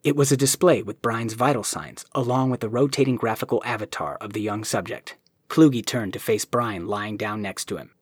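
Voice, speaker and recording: A man reading a novel aloud in an even storytelling manner, his voice husky and breathy. The sound is close and dry, with no room around it. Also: fairly low pitch, moderate pace, dry recording. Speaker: narrator. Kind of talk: audiobook narration.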